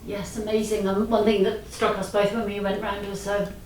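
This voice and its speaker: A woman's voice: mid-range voice, flat delivery, normal speed, darkish tone. Distant, roomy-sounding woman interviewer. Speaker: podcast interviewer. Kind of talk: conversational interviewing. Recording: roomy, distant mic, noisy background.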